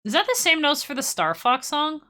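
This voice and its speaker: A woman's voice: high voice, big pitch swings, fairly quick. A young woman casually teaching music-making on a live stream, rambling and self-deprecating with playful asides.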